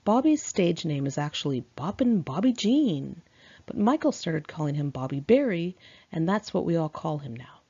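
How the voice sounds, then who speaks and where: A woman narrates her own memoir with a low, gravelly fry in her voice. She is candid and sassy, talking as if chatting to friends. The tone is dark and mellow, caught on a slightly dull home recording. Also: sing-song, normal speed. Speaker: author reading own memoir aloud.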